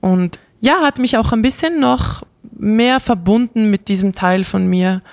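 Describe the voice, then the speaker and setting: A woman's voice: mid-range voice, measured pace, creaky. A woman talking casually and reflectively as a guest, enthusiastic and searching for her words. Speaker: interview guest, classical singer.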